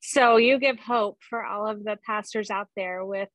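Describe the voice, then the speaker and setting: A woman hosts a church podcast, greeting her guest with effusive warmth and plenty of ums. Her average-pitched voice is recorded close and dry, with no room sound. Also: steady tone, a little slow, no echo, low-quality recording. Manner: effusive, welcoming, with frequent fillers. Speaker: podcast host. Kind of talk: casual podcast interview.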